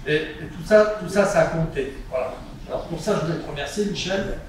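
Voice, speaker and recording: A man's voice: fairly high pitch, a little fast. A man from Nice giving an informal, joking tribute speech at a judo ceremony, with many fillers. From Nice, France. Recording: echoey hall, distant mic, audible noise.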